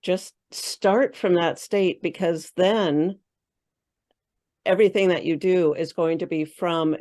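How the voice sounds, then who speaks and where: Low-voiced woman sharing coaching tips. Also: fairly even intonation, slow pace, a little mellow. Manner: thinks aloud with many fillers and restarts. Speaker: spiritual coach, guest. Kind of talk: casual interview chat.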